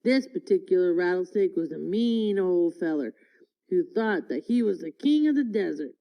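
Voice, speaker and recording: A woman reading a folksy tall tale aloud in an expressive, deliberate storytelling manner. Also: fairly low pitch, vocal fry. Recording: dull, lo-fi audio.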